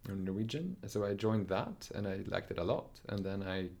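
Young norwegian guy, low creaky voice, lots of uh and um, thinking out loud. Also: animated, moderate pace. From Norway. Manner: thoughtful, hesitant, many fillers and restarts. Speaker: dancer, interview guest. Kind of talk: casual interview talk.

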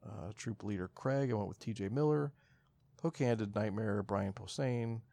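A man's voice: on the low side, moderate pace, creaky, dark tone, dry recording. A man co-hosting a casual podcast, talking in laid-back, folksy slang. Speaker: podcast co-host.

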